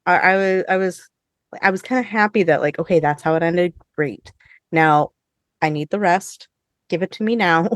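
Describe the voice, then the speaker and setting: A woman hosting a podcast, chatting casually with co-hosts in an informal, expressive way with some swearing. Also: fairly low pitch, moderate pace, no room sound, studio quality. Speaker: podcast host. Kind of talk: casual review chat with co-hosts.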